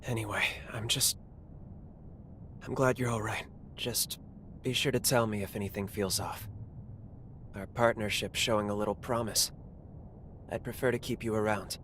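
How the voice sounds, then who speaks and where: An actor voicing a guilt-ridden, reckless young male fighter, speaking earnestly and quietly. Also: on the low side, steady tone, normal speed, pauses often, slight background noise, close to an everyday voice. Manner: earnest, subdued, confessional. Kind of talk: video game voice acting. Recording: game cutscene with music underneath.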